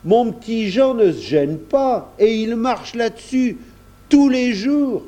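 A man's voice: very high-pitched, animated, slow pace, mellow, pitched above the performer's own voice, heavily acted. Guy doing a plain-spoken farmer in a storybook, easily amazed, voice swoops way up, folksy and good-natured. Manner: exclamatory rustic talk with folksy turns.

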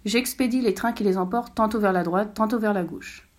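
A woman's voice: medium pitch, brisk, natural voice. A woman reading aloud and voicing a plain, matter-of-fact man who works as a railway switchman. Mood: dry.

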